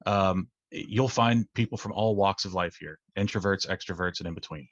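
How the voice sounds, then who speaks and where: A reassuring group spokesman played by a man with a deep voice. He speaks with small hesitations, and the sound is dry and slightly dull, like an online event in an audio drama. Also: normal speed, dry recording, close to an everyday voice.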